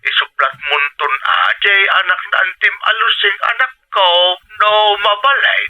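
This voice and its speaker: A man's voice: high-pitched, animated, normal speed, sharp tone, heavily acted, poor audio. A man with a Filipino accent playing an older father in a radio drama, coaxing and persuasive as he asks for things. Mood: coaxing, pleading. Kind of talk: Ilocano radio drama acting.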